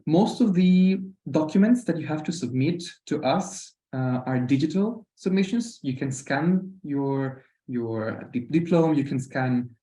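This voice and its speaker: A man's voice: on the high side, expressive, a little slow, few pauses, warm tone. A man from Costa Rica with a Spanish accent, presenting informally in a webinar and often hesitating and repeating words. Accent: Costa Rican Spanish. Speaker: university program representative presenting. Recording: roomy online webinar audio.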